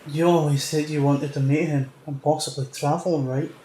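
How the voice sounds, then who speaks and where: A man acting in a fan audio drama as a stubborn, battle-worn, sheepish fellow with a halting, subdued delivery in a roomy space. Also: on the high side, steady tone, dark tone, close to an everyday voice. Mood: weary, apologetic. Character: Lance, stubborn, battle-worn, sheepish young soldier's brother. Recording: roomy echo, faint noise and ambience.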